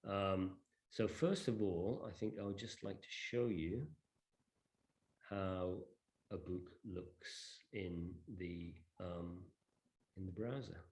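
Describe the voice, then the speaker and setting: A man's voice: low voice, slow pace, a little mellow. A man presenting an informal live product demonstration, explaining things in a hesitant way. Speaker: presenter demonstrating a digital library. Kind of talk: live product demo to an audience.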